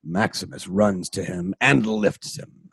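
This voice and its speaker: A man's voice: low voice, takes it slowly, creaky, a bit bright, breathy edge, no echo. A man narrating a radio play from a script in an informal, dramatic way, with casual asides.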